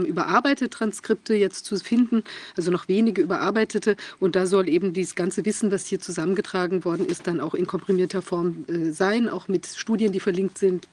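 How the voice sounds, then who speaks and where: A woman hosting an online session, searching for words with many fillers as she introduces her guest. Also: mid-range voice. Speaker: host. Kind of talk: hesitant moderating.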